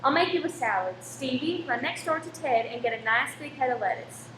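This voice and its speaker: A firm but kindly married woman from a radio play, acted by a woman. Her voice is high and composed, heard in an echoey room with a little noise. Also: animated, a little fast. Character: Winnie, brisk, kindly cinema owner's wife. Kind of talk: amateur radio play acting.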